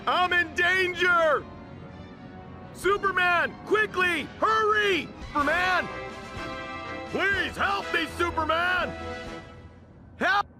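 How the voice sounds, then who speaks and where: A panicked game civilian pleading for rescue. The voice is extremely high and drawn out, urgent, with music clearly behind it. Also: male voice, slow, pauses often. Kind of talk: video game cutscene acting.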